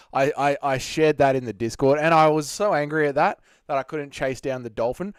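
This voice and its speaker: A young Australian man hosting a live stream, chatting with viewers in excitable, slangy, sweary banter. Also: fairly high pitch, smooth, no echo, studio quality. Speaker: streamer host.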